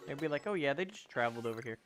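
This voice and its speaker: A man's voice: mid-range voice, lively intonation, very fast, a bit bright, soft background music. Young man chatting on a game stream. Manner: rambling, self-correcting, answering chat. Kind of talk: casual gaming livestream chat.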